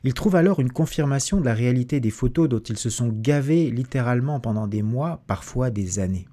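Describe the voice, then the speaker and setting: A man narrating a documentary in a measured, analytical scripted voice-over. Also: medium pitch, animated, warm tone.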